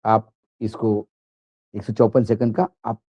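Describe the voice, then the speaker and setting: A man's voice: on the low side, level tone, takes it slowly, dark tone, slight background noise. A man presenting political commentary to viewers in an emphatic, rhetorical way.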